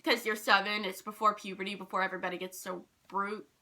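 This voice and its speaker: A young woman telling personal stories in a casual, animated, rambling and self-deprecating way. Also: medium pitch, fast. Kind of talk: casual storytime chat.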